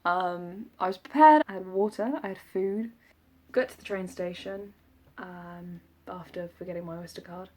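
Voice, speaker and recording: A young woman with a southern English accent talks in a slightly low-pitched voice. She rambles casually as a vlogger would, poking fun at herself in an informal, jokey manner. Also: moderate pace, a little mellow. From south of England. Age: 20s. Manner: self-deprecating, jokey. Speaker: vlogger recounting an audition. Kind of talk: casual rambling vlog chat.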